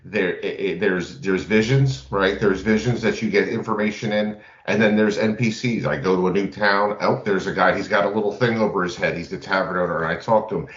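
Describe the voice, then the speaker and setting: Male co-host, deep voice, informal rambling game chat, roomy and slightly muffled recording. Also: fairly even intonation, a little fast, few pauses, fairly bright tone. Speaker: podcast co-host. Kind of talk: casual panel chat about games. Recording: roomy, dull lo-fi audio.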